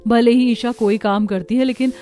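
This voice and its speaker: A woman's voice: fairly high pitch, steady tone, moderate pace, dark tone, faint music behind, close to an everyday voice. A woman voicing a vain, smug elder daughter-in-law in a family cartoon.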